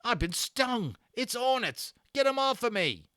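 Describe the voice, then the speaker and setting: Man acting a crude young skinhead burglar, wildly animated. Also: very high voice, a little slow, clear, resonant tone, no echo, clean studio sound, voice pushed up, full character voice. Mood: howling panic. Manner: coarse slangy speech, howling when hurt.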